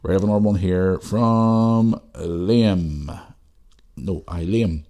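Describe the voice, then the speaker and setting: Man, Northern Irish accent, low-pitched voice, informal podcast host, casual chat, chatty and unpolished. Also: slow pace, dark tone. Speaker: podcast host answering listener questions. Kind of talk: casual chat and reading out messages.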